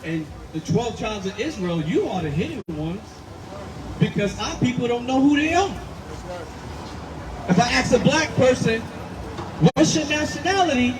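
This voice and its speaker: A man preaching outdoors, forceful and emphatic, repeating his points. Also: very high voice, expressive, normal speed, long pauses. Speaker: street preacher. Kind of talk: street preaching. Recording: distant mic with loud street noise.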